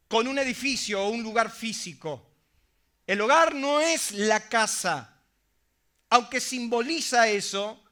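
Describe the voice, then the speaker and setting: Bright high-voiced preacher man. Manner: emphatic preaching, asks the audience rhetorical questions. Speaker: pastor. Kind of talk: sermon.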